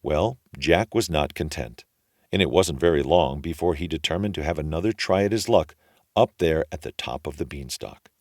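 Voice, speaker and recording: A man reading a children's story aloud as an expressive storyteller. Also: rumbling low, normal speed, no echo, studio quality.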